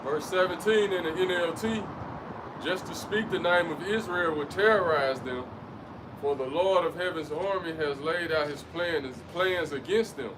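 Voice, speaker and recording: A man reading scripture aloud outdoors in a steady, measured way. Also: a little high, distant mic. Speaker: scripture reader. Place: outdoors by a street. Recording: off-mic, street traffic noise. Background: passing vehicles.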